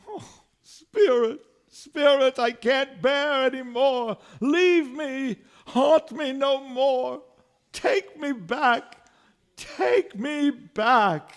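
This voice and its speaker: An actor playing an anguished, fearful old miser who pleads haltingly in an old-time radio drama. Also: male voice, very high voice, smooth, heavily acted. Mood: anguished, pleading.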